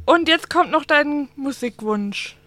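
A woman's voice: high voice, lively intonation, slow, bright, forceful tone, no echo. A woman presenting a casual radio interview, asking short, direct questions.

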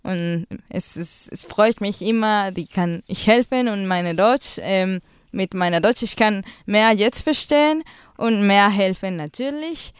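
A young woman with a native Spanish speaker's Peruvian accent speaks German haltingly, reaching for words between many fillers. Her voice is smooth and resonant. The sound is dry and close, with no room around it. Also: medium pitch, no echo. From Peru. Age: young adult. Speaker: guest, school volunteer. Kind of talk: casual radio interview.

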